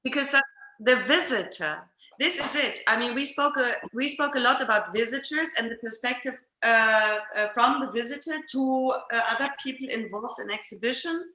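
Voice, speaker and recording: A woman's voice: a little high, moderate pace, bright, forceful tone. A woman hosting an unscripted discussion, searching hesitantly for her words. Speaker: host of an art talk session. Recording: echoey room.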